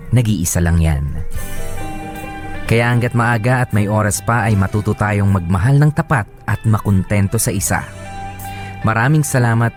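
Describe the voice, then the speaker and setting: Low-voiced remorseful husband voiced by a man. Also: natural voice. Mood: earnest advice. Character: remorseful former womanizer husband narrating his letter. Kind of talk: dramatized letter narration, radio drama. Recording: music behind the voice.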